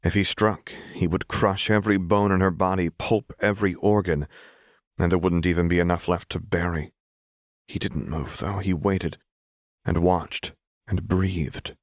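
Low-voiced male audiobook narrator. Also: fairly even intonation, a little slow, no echo, clean studio sound. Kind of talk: scripted dramatic fiction narration.

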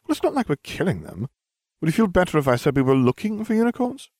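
Man as a smug scheming schoolkid, animated pitch. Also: fast, dry recording, studio quality. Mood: exasperated. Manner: glib, mock-grave, dramatic.

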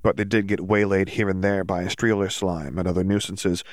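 Male audiobook narrator, lowish voice, very dry close sound, scripted story read. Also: level tone, normal speed, dry recording.

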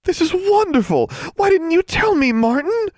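A man voicing a gushing, lovestruck teenage girl who squeals and rushes her words in one breath. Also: very high voice, very animated, dry recording, studio quality, pitched above the performer's own voice. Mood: ecstatic.

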